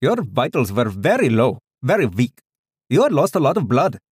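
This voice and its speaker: Audiobook role: frail old doctor, Indian accent, raised pitch, brisk bedside manner, performed by a male narrator. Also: sing-song, slightly rough, dry recording, clean studio sound. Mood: matter-of-fact. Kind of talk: audiobook character dialogue.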